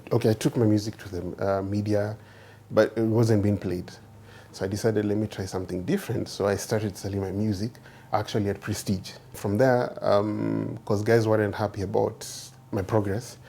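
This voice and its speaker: A Kenyan man with a low, mellow voice shares a personal testimony in an interview setting. He speaks reflectively, often repeating a phrase to stress it. His voice has a gravelly edge, and faint ambient noise sits around it. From Nairobi, Kenya. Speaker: interviewee, groom, chef and musician.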